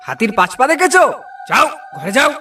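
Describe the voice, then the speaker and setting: A man's voice: extremely high pitch, huge pitch swings, a little fast, distant mic, exaggerated voice. A man voicing a stern, alarmed village night watchman in a cartoon, scolding and calling out warnings. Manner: scolding, loud, repetitive warning calls. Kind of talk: cartoon voice acting.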